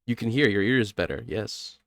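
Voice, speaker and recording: A congested young man co-hosts a casual podcast, his voice low and smooth under the stuffiness. He rambles and corrects himself as he goes. The sound is close and dry, with no room around it. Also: a little fast, a bit bright, no room sound. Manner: rambling, self-correcting, laughs often. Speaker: podcast co-host.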